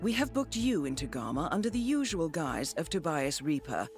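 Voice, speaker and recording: An actress playing a composed, authoritative female mission handler in a video game, speaking in a crisp, formal, measured way. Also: low voice, sing-song, soft background music. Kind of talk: scripted game dialogue and mission briefing.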